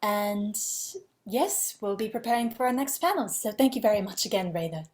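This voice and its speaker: A woman's voice: mid-range voice, normal speed, a little mellow. A woman moderating an online conference panel, politely thanking the speaker and reading out an audience question, heard over a video call.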